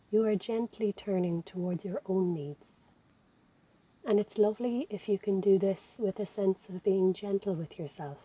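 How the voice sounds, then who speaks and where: A woman with an Irish accent leading a guided meditation as an instructor, speaking in a gentle, soothing, unhurried manner. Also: mid-range voice, slightly rough, rough recording. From Ireland. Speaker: physiotherapist and yoga instructor leading relaxation.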